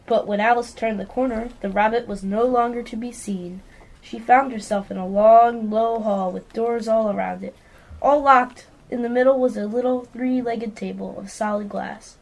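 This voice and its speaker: A woman's voice: a little high, steady tone, moderate pace, gravelly, low-quality recording. A female amateur narrator reading scripted storybook narration for a radio play.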